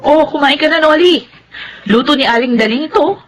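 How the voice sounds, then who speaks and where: Teasing young woman, high lively voice, faint noise behind. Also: a little fast, soft background music. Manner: teasing and chiding. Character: Lulu, teasing young woman, the heir's companion. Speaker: radio drama actor.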